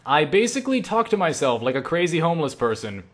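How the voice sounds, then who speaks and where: A man's voice: on the high side, fast. A young man answering viewer questions in a casual, rambling, self-deprecating way, as an online creator. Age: young adult. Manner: self-deprecating, animated asides with acted-out quotes.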